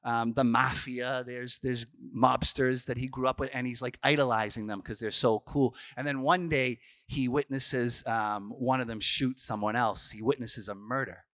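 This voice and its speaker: Chatty priest, dry sound. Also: male voice, medium pitch, fairly even intonation, normal speed, few pauses, a bit bright, dry recording. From Boston, Massachusetts. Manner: chatty storyteller, frequent restarts and fillers. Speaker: Franciscan priest giving a youth workshop. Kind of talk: conversational workshop talk.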